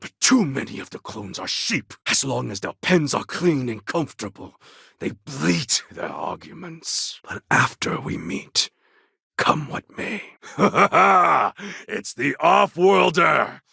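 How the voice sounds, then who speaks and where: An actor playing a proud, warlike clone of a Mongol conqueror in a video game, with a gravelly, husky voice and a deliberate, grandly formal delivery. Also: male voice, on the high side, very animated, bright, forceful tone, dry recording, studio quality, exaggerated voice. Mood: stern, resolute, then exultant. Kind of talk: video game character acting.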